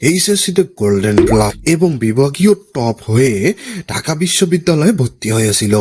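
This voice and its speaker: A man's voice: medium pitch, lively intonation, brisk, background noise, natural voice. A man playing a strict, grumbling middle-aged Bangladeshi father who scolds and lectures in a comic cartoon. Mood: sardonic, lecturing. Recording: music behind the voice.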